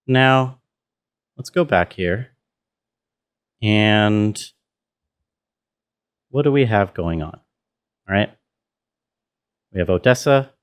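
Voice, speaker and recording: A man giving a casual, halting tutorial as a sound designer, thinking aloud and checking in with his listeners. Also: fairly low pitch.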